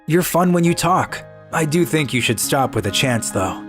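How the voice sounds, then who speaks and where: A man's voice: a little high, lively intonation, normal speed. A man playing an earnest, kind schoolboy who narrates his own story in scripted animation style. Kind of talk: scripted narration and voiced dialogue. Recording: music behind the voice.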